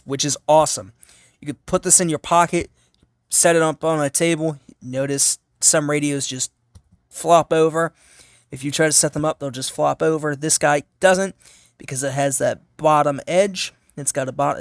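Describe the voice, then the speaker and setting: A man's voice: a little high, no echo, clean studio sound. A man giving a casual hands-on gadget review as a channel host, informal and repetitive as he thinks aloud.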